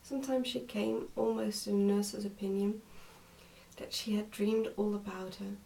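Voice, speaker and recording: A woman reading a storybook as soft-spoken, measured ASMR narration. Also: medium pitch, fairly even intonation, gravelly, reverberant, far from the mic, slight background noise, poor audio. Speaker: storybook reader.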